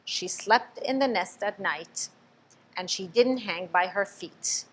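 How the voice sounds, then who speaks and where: A woman reading a children's picture book aloud, expressive, stopping to ask the listener questions. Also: mid-range voice, takes it slowly, slight background noise, poor audio. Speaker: storybook reader.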